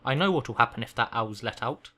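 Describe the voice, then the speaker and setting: A man's voice: mid-range voice, animated, brisk, pushed and bright. A man voicing a furious, bullying middle-aged uncle from a storybook, roaring and snarling his words. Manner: roaring, snarling, thundering delivery.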